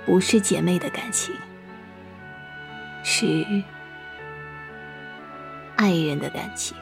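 A woman acting a gentle, sorrowful Buddhist nun master, speaking in a measured, wistful way in a Chinese costume audio drama. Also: fairly low pitch, vocal fry, music in the background. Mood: wistful storytelling.

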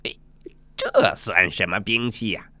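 A man voicing a brash, excitable, mischievous monkey king in a storybook tale, with giggles and exclamations. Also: fairly low pitch, unhurried, dry recording, full character voice. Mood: dismissive.

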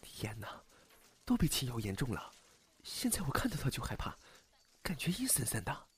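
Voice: mid-range voice, huge pitch swings, normal speed, breathy edge, in a whisper, heavily acted. An actor playing a gossipy, easily spooked office colleague in an audio drama. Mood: hushed, spooked. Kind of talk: Chinese audio drama acting.

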